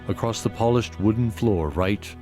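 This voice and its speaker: A man narrating a scripted fantasy audio drama in a measured, descriptive storytelling manner. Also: on the low side, dark tone. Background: music.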